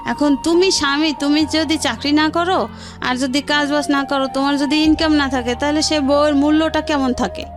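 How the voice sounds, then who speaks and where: A woman's voice: high voice, fairly even intonation, normal speed, music in the background. A woman with a Bangladeshi accent telling her life story as an interview guest, in colloquial words and often relaying what others said. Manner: colloquial, narrates with reported dialogue.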